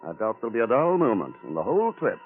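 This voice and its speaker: An actor playing a wry, laconic, unflappable adventurer in an old-time radio drama. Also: male voice, mid-range voice, big pitch swings, fast talker, narrow, tinny audio, over loud music, lightly acted. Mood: cool, dry. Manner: dry, laconic. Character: cool, wry male adventurer hero, unflappable. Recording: thin, band-limited old radio recording.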